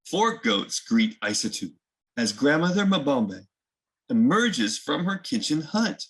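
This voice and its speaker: A man's voice: fairly high pitch, sing-song, takes it slowly, a bit bright. A man hosting a children's picture book read-aloud, mixing story narration with casual explanatory asides.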